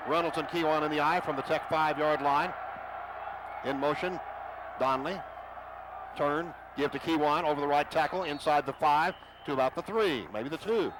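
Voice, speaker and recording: Brief: male radio play-by-play caller, higher voice, noisy broadcast. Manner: rapid excited calls rising to shouts on big plays. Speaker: play-by-play announcer.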